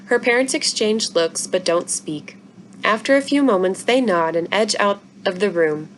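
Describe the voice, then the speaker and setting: A woman's voice: medium pitch, moderate pace, a little hiss or hum. Woman reading a children's story aloud. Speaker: library story-time reader. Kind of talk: expressive children's book read-aloud.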